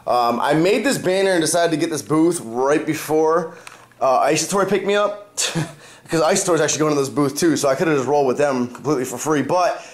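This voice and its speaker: A young man talking to his camera in a chatty vlog, his voice stuffed up and worn from a cold. He sits a little high for a man and walks through each step like he is explaining to friends. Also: normal speed. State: stuffed up from a cold, partly lost voice. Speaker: fitness vlogger. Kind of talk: casual vlog talk to camera.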